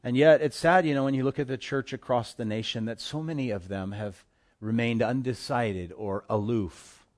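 A man preaching a sermon as pastor of his church, impassioned, swinging between hushed asides and forceful declarations. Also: mid-range voice, moderate pace. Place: church in Hawaii.

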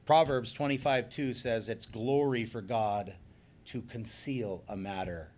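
A man preaching a sermon, earnest and emphatic, slowing down on key phrases and then leading a closing prayer. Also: medium pitch, steady tone, darkish tone. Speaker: pastor.

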